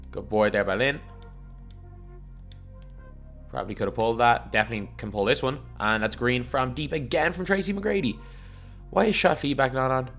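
A young man talks his way through a basketball video game in a hoarse, worn-out voice, rambling and energetic. He keeps circling back with filler phrases. Faint game music plays underneath. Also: mid-range voice. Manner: rambling, energetic, repeats "so anyway". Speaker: gaming YouTuber. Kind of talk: casual video game commentary.

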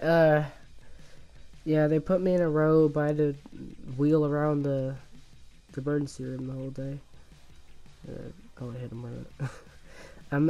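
A man with a mellow voice, a little worn from illness and a lingering cough, talks casually over his own gameplay. He stumbles and restarts his sentences often and chuckles at himself. Faint game music sits quietly behind him. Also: fairly high pitch, low-quality recording. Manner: halting, many restarts and fillers, laughs at himself.

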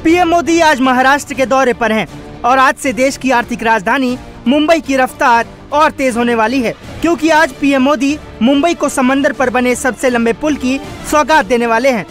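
A woman's voice: high-pitched, normal speed, soft background music. A woman reading a scripted news voice-over with punchy, emphatic headline delivery. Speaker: news narrator.

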